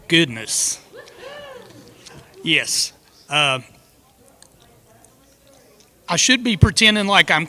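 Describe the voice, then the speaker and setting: Male panel moderator, somewhat high and bright, easygoing and wry, in a reverberant hall. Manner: relaxed, joking, with frequent fillers. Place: conference panel room. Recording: echoey hall with long reverb.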